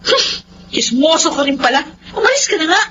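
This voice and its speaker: A woman's voice: high voice, very animated, a little fast. A woman with a Filipino accent playing a jealous, obsessive young woman in a melodramatic, pleading radio drama style. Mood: irritated. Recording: muffled, noisy radio drama audio.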